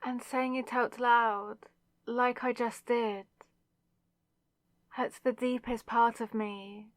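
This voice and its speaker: A woman playing a possessive, lovesick demonic villainess in a dramatic ASMR audio roleplay, speaking with intense, deliberate pauses. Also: fairly high pitch, level tone, no room sound, clean studio sound. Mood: anguished.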